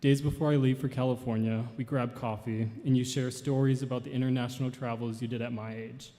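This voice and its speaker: A man in his twenties, a grandson giving a memorial tribute and reading a poem in an earnest, measured way. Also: mid-range voice, steady tone, dark tone. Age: 20s. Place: large church hall. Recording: long hall reverb.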